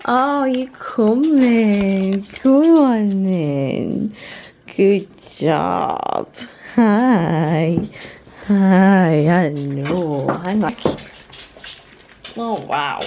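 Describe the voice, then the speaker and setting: A woman's voice: medium pitch, extremely slow, dark tone, slight background noise. A young British woman chatting casually to her vlog viewers in an informal, rambling way. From United Kingdom. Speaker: vlogger.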